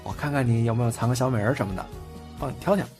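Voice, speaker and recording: A man's voice: medium pitch, rapid-fire, close to an everyday voice. A man acting a bashful, cheeky young man who stammers and gets flustered with his older boyfriend in a Chinese audio drama. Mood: hesitant, coy. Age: young adult. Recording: loud background music.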